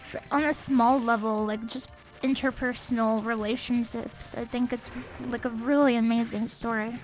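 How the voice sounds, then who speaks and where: A young woman chatting casually on a game stream. She is a little sniffly, speaks softly, and reads out chat messages. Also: on the high side, little pitch movement, moderate pace, rough recording. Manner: soft-spoken, reads chat aloud. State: sniffly, a bit stuffed up. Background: faint game music.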